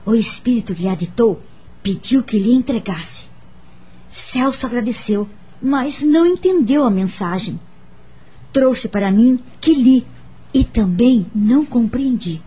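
A woman playing a gentle, wounded but forgiving adult daughter who recounts her family past in a radio drama, measured and heartfelt. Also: a little high, expressive, husky, full character voice. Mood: earnest, uneasy. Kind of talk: dramatised first-person narration, radio drama. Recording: background music under the voice.

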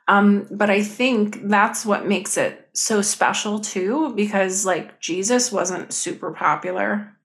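A woman talking as a podcast guest, telling stories in an animated, expressive way with lots of fillers. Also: medium pitch, slow, hardly any pauses. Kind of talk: casual conversation.

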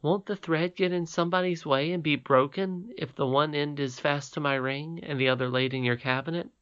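An earnest, affectionate young princess girl from a storybook, pleading in a voice lifted well above the reader's own, as a man reads her. Her questions come out eager and soft. The recording sounds dull and lo-fi. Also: a little high, fairly even intonation, a little fast, clean tone, no room sound, voice pushed up, close to an everyday voice.

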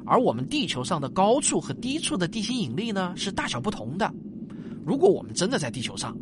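A man's voice: sits high, wildly swooping pitch, fairly quick, noisy background. An actor playing a patient father in a science fiction radio drama, explaining things to a child in a coaxing, teacherly way. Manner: coaxing, teacherly, many softening particles.

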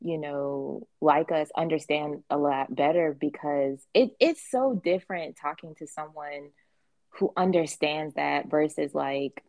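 West indian-born woman host, mostly american accent w/ a bit of caribbean, low-ish voice, dry close mic, tells stories loud and frank. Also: unhurried, no echo. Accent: American with faint Caribbean traces. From West Indies. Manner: candid, animated storytelling with acted quotes and swearing. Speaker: podcast host. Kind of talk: casual chat.

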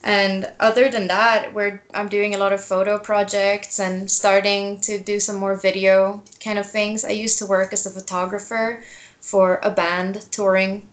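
Woman in her twenties, laughing easily. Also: medium pitch. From Sweden. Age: 20s. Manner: relaxed, laughs often, many fillers. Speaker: guest, tattoo artist. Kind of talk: casual interview.

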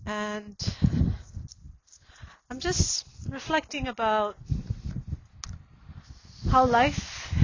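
A woman's voice: on the high side, highs cut off, background noise. A woman in her forties musing aloud for a reflective podcast while walking outdoors on a snowy street. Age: 40s. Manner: slow, musing and meandering. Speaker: podcast host.

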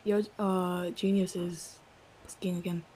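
A woman's voice: on the low side, flat delivery, slow pace, warm tone, slight background noise, poor audio. A teenage girl bantering on a casual podcast, teasing her friends with slang. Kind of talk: casual chat.

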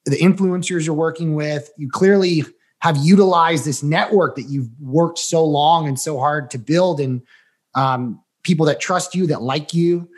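A man's voice: a little high, measured pace. Enthusiastic man co-hosting a chat. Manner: enthusiastic, nostalgic. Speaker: podcast co-host. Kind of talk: casual interview chat.

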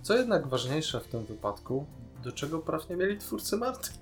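A man's voice: fairly high pitch, big pitch swings, moderate pace, faint music behind. A man co-hosting a casual podcast discussion, giving opinionated, critical commentary. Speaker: podcast co-host.

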